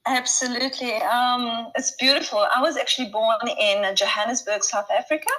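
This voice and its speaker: A woman's voice: a little high, moderate pace, sharp tone, rough recording. A woman, a health coach being interviewed over a video call, speaking in an effusive, reflective way. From Italy. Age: 50s. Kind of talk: casual interview chat.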